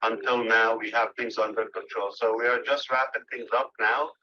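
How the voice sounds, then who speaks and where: A man giving a company update over a phone call, pausing often with filler sounds as he searches for words. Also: medium pitch, steady tone, sharp tone, slight background noise. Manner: halting, many filler pauses. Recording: dull lo-fi phone line.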